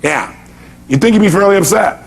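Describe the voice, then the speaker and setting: A man's voice: high-pitched, animated, a little fast, creaky. A man giving a motivational seminar talk to an audience, speaking emphatically and pressing points with rhetorical questions.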